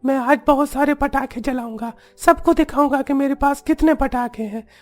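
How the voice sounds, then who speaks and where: High-pitched boastful little boy played by a woman. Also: moderate pace, close to an everyday voice. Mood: boastful, excited.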